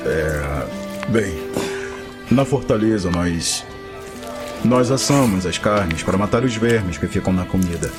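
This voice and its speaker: A man playing a modest young hero knight in an audio drama, polite, halting and hesitant. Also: sits low, expressive. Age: young adult. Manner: halting, courteous. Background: loud music.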